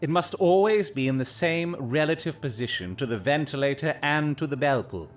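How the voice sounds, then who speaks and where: An actor playing a keen, commanding gentleman detective in an audio drama, speaking in deliberate, formal, dramatic phrases. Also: fairly high pitch, soft background music, natural voice. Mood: analytical, intent.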